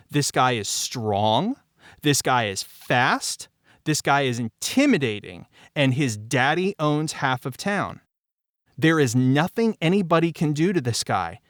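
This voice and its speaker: A man's voice: on the high side, dry recording, studio quality. A man in his forties telling behind-the-scenes stories in a candid, emphatic way, repeating phrases for stress. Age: 40s. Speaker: audio drama creator discussing the show behind the scenes. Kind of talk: animated conversational storytelling.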